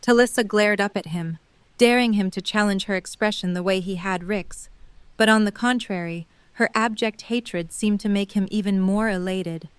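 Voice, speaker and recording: Woman, audio drama narrator, very clear smooth voice, medium pitch, scripted storytelling, dry close recording. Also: moderate pace, no echo.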